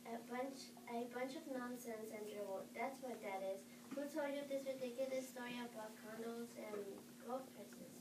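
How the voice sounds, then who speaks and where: A woman's voice: a little high, steady tone, normal speed, few pauses. A female student reading a part aloud in a class readers theatre performance. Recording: distant, echoey, noisy classroom recording.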